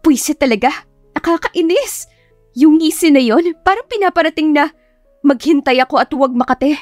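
A woman's voice: high voice, normal speed, dry recording, faint music behind, voice pushed up, close to an everyday voice. A woman voicing a flustered, embarrassed young female teacher who scolds herself dramatically in a romance audiobook. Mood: annoyed. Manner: exclamatory, self-scolding, exasperated.

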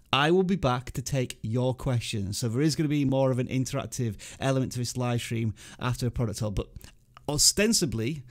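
A man in his thirties with an English accent, hosting a casual livestream from a small home studio and cheerfully greeting viewers in the chat. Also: medium pitch. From England. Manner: upbeat, reading out chat names and greeting viewers. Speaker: livestream host. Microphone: studio microphone on arm, headphones.

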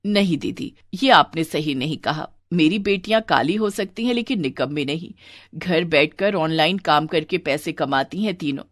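A woman voicing a gentle, meek middle-aged mother in a cartoon story, soft and placating. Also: deep voice, moderate pace, no echo, studio quality, pitched below the performer's own voice. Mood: worried, pleading.

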